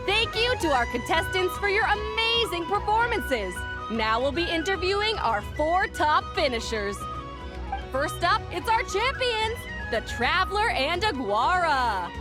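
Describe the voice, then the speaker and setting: A woman playing an upbeat, showy tournament announcer and interviewer in a video game. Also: extremely high pitch. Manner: upbeat showy presenter delivery. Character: bubbly female tournament announcer interviewing contest finalists. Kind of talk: game voice acting, event hosting. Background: loud background music.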